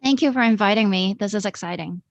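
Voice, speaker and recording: A woman's voice: mid-range voice, fast, creaky, no echo. A woman from Hong Kong answering as an interview guest in a reflective, informal, conversational way over an online call. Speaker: interview guest, architect and researcher. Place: remote online video call.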